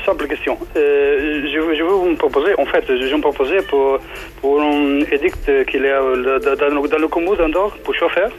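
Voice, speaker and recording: A man in his thirties from Spain, calling in with a Spanish accent, halting as he searches for French words. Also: fairly high pitch, steady tone, faint music behind, low-quality recording. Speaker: caller.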